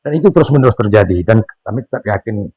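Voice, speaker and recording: Older Indonesian-accented father, sixties, low dry voice, earnest and preachy, filler pauses with emphatic rises. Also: expressive, darkish tone, husky, dry recording. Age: 60s. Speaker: father being interviewed. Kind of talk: casual family conversation.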